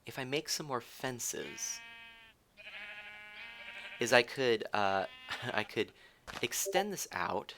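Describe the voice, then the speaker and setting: A man's voice: medium pitch, big pitch swings, takes it slowly, pauses often, a bit bright, a little hiss or hum, rough recording. A man streaming a game live, chatting with viewers, giggling and teasing as he thinks aloud. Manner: rambling with frequent restarts.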